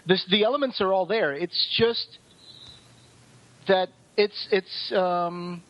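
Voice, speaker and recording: A man's voice: high voice, slow, highs cut off. A man co-hosting a podcast, talking through a review in a casual, rambling, explanatory way. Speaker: podcast co-host.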